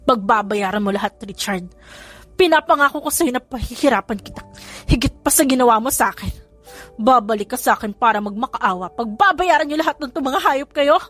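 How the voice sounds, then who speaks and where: A woman's voice: on the high side, animated, a little fast, fairly bright tone, soft background music, voice pushed up. A woman voicing a furious, defiant betrayed wife with emphatic delivery in audiobook drama dialogue. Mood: tearful vengeful.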